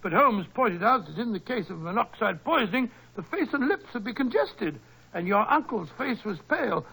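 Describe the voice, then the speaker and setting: A man's voice: high voice, very animated, normal speed, vocal fry, pushed and bright, slightly rough, full character voice. A man playing a fussy, bumbling, loyal companion to a detective, in a 1940s radio mystery style. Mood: flustered, hesitant. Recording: slightly noisy old radio recording.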